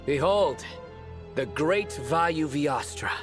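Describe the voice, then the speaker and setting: A man voicing a self-assured young joker in a video game, deadpan and boastful with a theatrical touch. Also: on the high side, slow. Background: soft game music.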